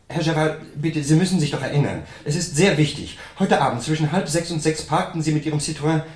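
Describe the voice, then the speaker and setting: A male actor plays an indignant suspect protesting his innocence in a 1970s radio crime drama. His voice is on the high side, in a clearly reverberant room. He sounds urgent and insistent. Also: lightly acted. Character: indignant, pleading male murder suspect and secret lover. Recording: roomy 1970s radio play recording.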